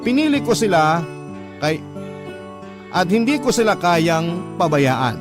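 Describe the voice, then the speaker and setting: A woman with a Filipino accent playing a young letter-sender, narrating her love story in a deliberate, reflective radio drama style. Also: lowish voice, very animated. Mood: reflective, resolved. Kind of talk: scripted first-person radio drama narration. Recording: loud guitar music under voice.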